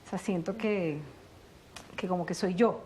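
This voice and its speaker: A middle-aged woman from Colombia, a guest in a conversational interview, telling family stories in an animated way and acting out the dialogue. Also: on the low side, measured pace, a little hiss or hum. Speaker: guest, actress.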